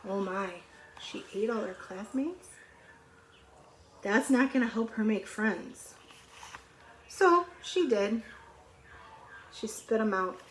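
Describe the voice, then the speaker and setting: Slow-paced woman reading to young children. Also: mid-range voice, slight background noise, poor audio. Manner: expressive, questioning, conversational with children. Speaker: preschool or elementary teacher reading aloud. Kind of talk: storybook read-aloud with asides to young children.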